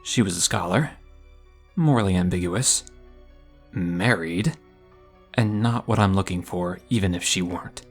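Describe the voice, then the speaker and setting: A man playing a guarded, quietly smitten young mage in an audio drama. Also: sits low, unhurried, pauses often, breathy edge, soft background music, exaggerated voice.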